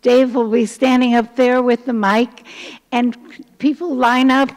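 A woman's voice: a little high, little pitch movement, measured pace. A woman hosting a lecture, thanking the speaker and opening the floor for questions on a hall stage. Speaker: event host. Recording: hall reverb.

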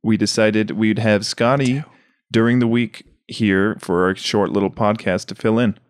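A man's voice: lowish voice, steady tone, unhurried, no room sound, clean studio sound. A young adult man co-hosting a podcast in casual, comedic conversation. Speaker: podcast co-host.

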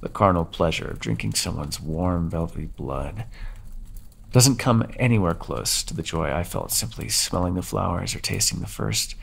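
A brooding ancient vampire, voiced by a man, speaks to his captive in a low, gravelly voice. His delivery is soft-spoken and measured, with the hushed intimacy of an ASMR roleplay. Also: lively intonation, exaggerated voice. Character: brooding ancient male vampire captor, solemn. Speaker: voice actor. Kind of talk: ASMR audio roleplay monologue.

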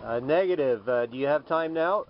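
A man's voice: a little high, moderate pace. A man, a solo sailor, talking casually and politely on the radio from a small boat cabin in an easygoing way. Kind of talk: casual marine radio exchange. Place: small sailboat cabin at sea. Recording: dull lo-fi camera audio, faint noise.

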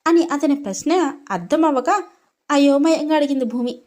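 A woman narrates a scripted story. Her voice is high. She reads as a storyteller, linking the characters' dialogue with description. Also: normal speed, a little mellow.

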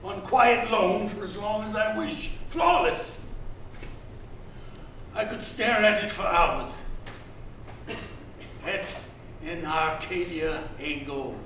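A man's voice: high voice, takes it slowly, pauses often, a bit bright. An older man in his sixties playing a grand, cultured mansion owner, declaiming and savouring each phrase in a stage reading. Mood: rapturous, grand. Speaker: actor. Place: theatre stage. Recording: very echoey stage, distant, hissy ambience.

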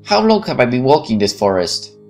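A man's voice: mid-range voice, sing-song, fairly quick, faint music behind. A man playing a visual novel, reading it aloud and adding casual, playful asides. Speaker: gameplay streamer.

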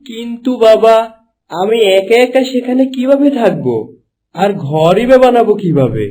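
A man's voice: high voice, animated, unhurried, warm tone. A man playing a meek, kind-hearted orphaned boy in a cartoon fairy tale, plaintive and earnest. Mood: sad, lost. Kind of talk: Bengali animated fairy-tale acting.

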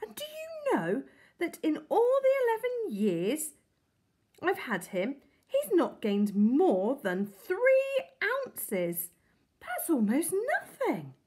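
A woman's voice: sits high, huge pitch swings, slow pace, a little mellow, pitched above the performer's own voice, exaggerated voice. Gushing kindly lady, as a woman reader voices her. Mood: doting. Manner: effusive, pleading. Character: sweet, gushing middle-aged woman doting on her pet. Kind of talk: storybook reading.

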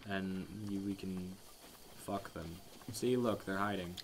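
A man's voice: low voice, steady tone, unhurried, low-quality recording. A young man casually chatting and joking while he plays a video game with a friend. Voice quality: offhand, jokey, crude. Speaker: gamer playing. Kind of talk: casual let's play chat. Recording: faint background noise.

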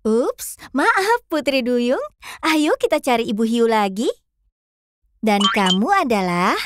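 A woman's voice: fairly high pitch, animated, takes it slowly, a bit bright, no room sound. A woman voicing a cheerful, curious little fox in a children's cartoon, playfully addressing young viewers. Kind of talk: children's cartoon narration and dialogue.

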